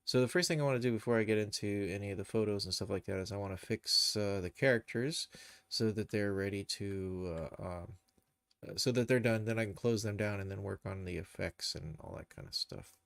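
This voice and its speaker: A man giving a casual live software tutorial, thinking aloud and muttering to himself as he works. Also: lowish voice, brisk, creaky, dry recording. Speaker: streamer, animation tutor.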